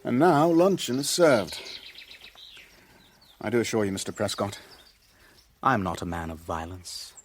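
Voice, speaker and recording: An actor in a radio drama playing a cornered Levantine businessman, formal and measured, defending himself with wounded dignity. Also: fairly low pitch, big pitch swings, a little hiss or hum. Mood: smooth, formal.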